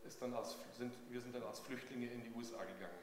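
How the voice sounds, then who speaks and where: A man's voice: mid-range voice, steady tone, quick pace. Man interpreting in an echoey, noisy hall. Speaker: consecutive interpreter for a preacher. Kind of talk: live consecutive interpreting of a sermon.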